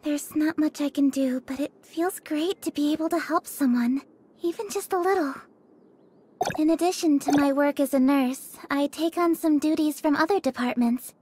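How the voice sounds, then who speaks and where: An actress voicing a gentle, earnest young nurse in a video game, with soft, polite and melancholy delivery. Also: female voice, high voice, moderate pace, slight background noise, close to an everyday voice. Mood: gentle, wistful. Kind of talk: video game character voice lines.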